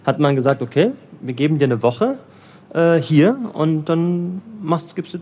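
A man's voice: a little high, unhurried, mellow. A man from Germany, raised in Bavaria, talks through his career in an easygoing interview. He hesitates often and restarts sentences, filling gaps as he thinks aloud. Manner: hesitant, many fillers and restarts. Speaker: interviewee, development finance professional in Lagos. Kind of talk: casual interview answers.

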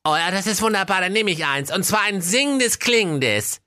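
A man's voice: on the high side, animated, a little slow, pushed and bright, dry recording, clean studio sound, voice pushed up. A man voicing a vain, stammering young male suitor in a comic fairy tale. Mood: delighted. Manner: boastful, stammering.

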